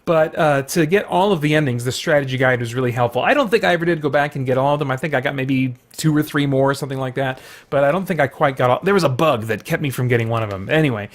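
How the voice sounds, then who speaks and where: A man's voice: mid-range voice, fast talker, few pauses. A man hosting a casual vlog, chatting in a meandering way and often breaking into his own sentences with asides. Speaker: video host showing a collection. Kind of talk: casual rambling vlog chat.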